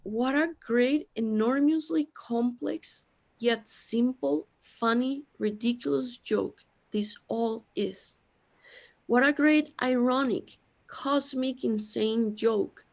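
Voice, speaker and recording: A woman's voice: fairly high pitch, slow pace, gravelly, a little mellow. A woman acting an anxious, bewildered character overwhelmed by existential dread in a sci-fi audio drama. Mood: awed, incredulous.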